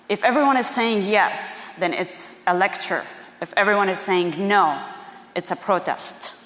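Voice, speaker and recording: Chatty Syrian-accented woman in an echoey hall. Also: mid-range voice. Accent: Syrian Arabic. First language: Arabic. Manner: warm, chatty, with many fillers. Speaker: theater director and filmmaker. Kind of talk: personal storytelling talk. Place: museum auditorium. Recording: roomy echo of a large hall.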